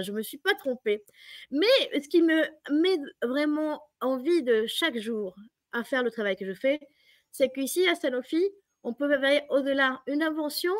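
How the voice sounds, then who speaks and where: A woman's voice: on the high side, dry recording, clean studio sound. A woman from Mexico with a Mexican Spanish accent, explaining her work as an interview guest. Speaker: interviewed biotech industry professional.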